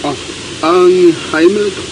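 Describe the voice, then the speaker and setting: A man's voice: high-pitched, unhurried. A man acting in a radio drama as a protective, easily irritated young suitor, with curt, challenging replies and courtesy toward women. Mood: earnest. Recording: noisy radio drama recording.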